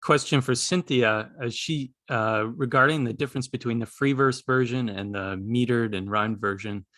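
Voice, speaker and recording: A man's voice: mid-range voice, normal speed, fairly bright tone, no echo. Man with a dry, close sound. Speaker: participant asking questions. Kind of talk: casual, thoughtful discussion.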